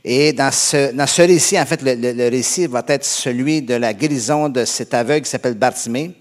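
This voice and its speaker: A man from Canada with a Québécois accent delivers a sermon to his congregation. His voice sits at an ordinary pitch, and his delivery is conversational and halting, full of fillers and restarts. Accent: Québécois French. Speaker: pastor.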